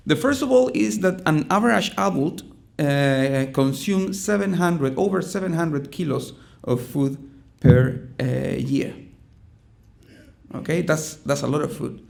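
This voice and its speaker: A middle-aged man from Chile with a Chilean Spanish accent, giving a conference presentation in a halting way, with many ums and restarts. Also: fairly high pitch, gravelly, darkish tone. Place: conference room.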